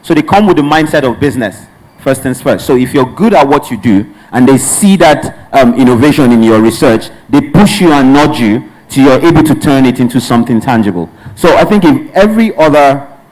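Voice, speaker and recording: A man's voice: medium pitch, lively intonation, a little fast, a little mellow, rough recording. Nigerian man, conference panelist, earnest and rambling, mid-range voice. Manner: earnest, discursive, with restarts. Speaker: panelist, hub representative.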